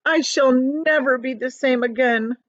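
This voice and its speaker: A woman's voice: sits high, measured pace, dry recording, highs cut off, voice pushed up. A woman voicing a gloomy, complaining earthworm from a children's storybook. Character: gloomy, grumbling, fed-up earthworm.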